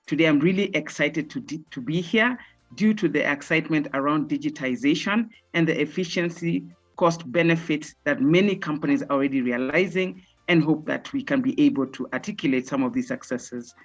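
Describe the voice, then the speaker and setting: A woman from Kenya, answering interview questions in a measured, earnest way with frequent pauses over a video call. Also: deep voice, dull audio, faint music behind. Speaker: guest, software director.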